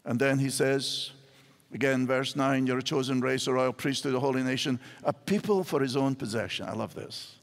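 A man's voice: mid-range voice, normal speed. An older man with a Scottish accent, preaching a sermon from a church stage with wide gestures. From Scotland. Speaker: pastor. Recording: hall reverb in a large church.

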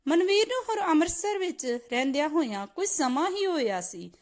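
A woman's voice: very high-pitched, big pitch swings, a little fast. A woman narrating a scripted moral story as a storyteller.

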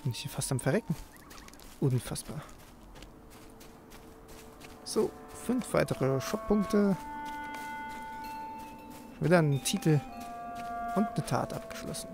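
A man's voice: on the high side, animated, takes it slowly, vocal fry, long pauses. A man giving casual gameplay commentary, talking informally and half to himself as he plays. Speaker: let's player, gaming host. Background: game music.

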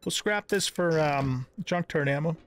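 Middle-aged man, high-pitched voice, casual gaming commentary, folksy thinking aloud, background noise and clicks. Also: moderate pace. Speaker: gaming channel host. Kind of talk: casual let's-play commentary.

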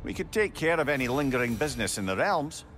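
An actor playing a wise, kindly older companion in a video game, conversational and earnest. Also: male voice, mid-range voice, sing-song, fast, fairly bright tone, slight background noise. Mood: companionable. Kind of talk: video game dramatic acting. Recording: music under the voice.

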